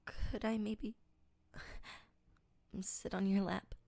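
Girl doing a scared clingy young woman, soft stammery whispers, unhurried, super dry close mic. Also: mid-range voice, little pitch movement, creaky, no echo, full character voice. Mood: timid. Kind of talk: audio roleplay addressed to the listener.

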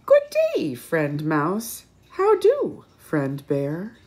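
A woman's voice: sits low, wildly swooping pitch, very slow, darkish tone, voice pushed down, exaggerated voice. A woman voicing a friendly, sociable storybook hare in a singsong manner. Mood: friendly, eager.

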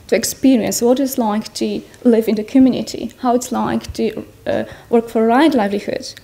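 A woman in her thirties with a Polish accent, giving an earnest personal talk to an audience in a large tent, pausing to search for words. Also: on the high side, dark tone, a little hiss or hum. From Poland. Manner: earnest, searching for words, non-native phrasing. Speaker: speaker at a Buddhist gathering. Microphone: stand mics in front of her.

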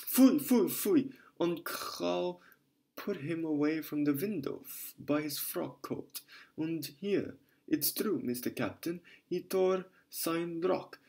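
A man reading aloud voicing a flustered, fawning German landlady who complains in a rapid patter with broken grammar and a strong German accent. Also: fairly high pitch, a little mellow, voice pushed up. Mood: flustered indignant.

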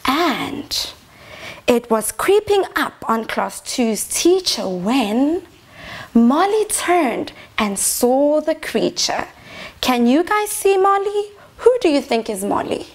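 A woman's voice: high-pitched, big pitch swings, slow. A young woman teacher reading a picture book aloud to small children, expressively and with prompting questions. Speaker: infant teacher reading a storybook. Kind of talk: children's story time read-aloud. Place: small decorated indoor reading corner.

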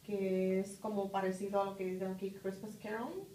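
A middle-aged woman, answering interview questions in an office conference room, pausing often with fillers. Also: mid-range voice, little pitch movement, dark tone. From Puerto Rico. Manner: thoughtful, pauses with many "ah" and "este" fillers. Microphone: far phone camera. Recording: roomy, distant, faint hiss.

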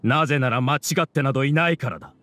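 A man's voice: medium pitch, fast talker, fairly bright tone, soft background music, natural voice. A man voicing an arrogant scholar villain in a video game, grandiose and lecturing in a theatrical way. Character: arrogant male scholar villain, mastermind of a hive mind. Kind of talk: Japanese video game voice acting.

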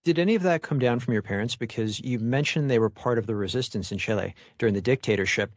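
A man hosting a media podcast, reading a scripted introduction and asking interview questions in a measured, clear voice. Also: mid-range voice, no echo. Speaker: podcast host.

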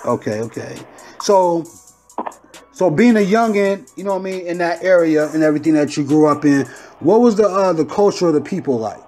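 Male podcast host, casual, higher-pitched, repetitive affirmations, remote line. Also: expressive, a little fast, warm tone, soft background music. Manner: loose, repeats "okay, okay" and "I got you". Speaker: interview host. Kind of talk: casual interview.

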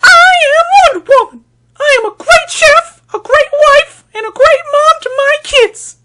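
A man's voice: very high voice, measured pace, background noise, voice pushed up. A man voicing a boastful, hysterical woman business owner in a wailing, melodramatic dramatic reading.

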